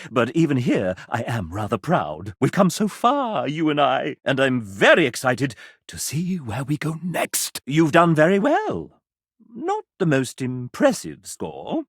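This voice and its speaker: A man's voice: high voice, a bit bright, dry recording, clean studio sound, full character voice. An actor playing a sassy, theatrical male game-show host mascot in a video game, with pompous, showy, flowery delivery. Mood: lovestruck, dramatic. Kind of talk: video game character voice lines, game-show hosting.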